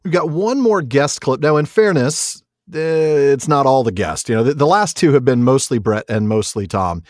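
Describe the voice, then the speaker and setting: A man's voice: mid-range voice, expressive, normal speed, few pauses, dry recording, clean studio sound. A man hosting a podcast in casual, emphatic conversational style. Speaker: podcast host. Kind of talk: casual chat.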